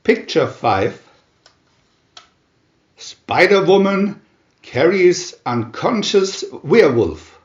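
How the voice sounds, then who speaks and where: Slow, theatrical male narrator, swooping pitch. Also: very animated, roomy sound, muffled recording. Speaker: narrator reading aloud a picture-reel booklet. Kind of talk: dramatic scripted narration of a comic adventure.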